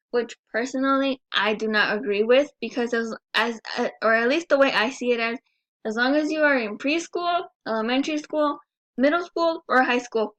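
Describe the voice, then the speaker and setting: A young woman in her early twenties, a native Spanish speaker, presents to her class with a slightly high voice. She talks earnestly and personally, often restarting a phrase and working her way to the point. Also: fairly bright tone. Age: early 20s. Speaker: student presenter.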